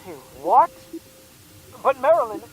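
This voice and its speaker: A man's voice: very high-pitched, expressive, unhurried, soft background music, low-quality recording. A man playing a lovelorn space commander in a radio drama, with an urgent, pleading, emotional delivery. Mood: startled. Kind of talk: melodramatic radio drama acting.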